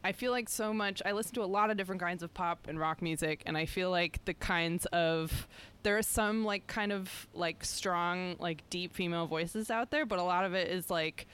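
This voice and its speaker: A woman hosting a podcast, asking questions in a casual, filler-heavy way with quick giggles. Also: fairly low pitch, a bit bright, smooth. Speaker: podcast host.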